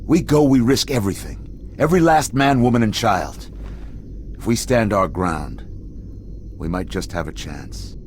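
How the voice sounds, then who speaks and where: An actor playing a stern, skeptical human military commander in a game cutscene, blunt, grave and authoritative. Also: male voice, fairly low pitch, lively intonation, measured pace, slight background noise. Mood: grave, insistent. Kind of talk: dramatic video game cutscene acting.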